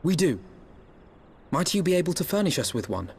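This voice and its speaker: A man's voice: a little high, big pitch swings, fairly quick, creaky, darkish tone, husky, exaggerated voice. An actor playing an earnest, courteous young nobleman in a fantasy video game, with formal, grave phrasing.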